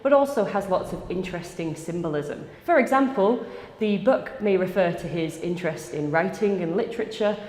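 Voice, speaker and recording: A woman's voice: on the low side, lively intonation, moderate pace, a little mellow. A woman giving a scripted presentation as coordinator of a gallery exhibition, introducing an artwork. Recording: echoey hall with long reverb.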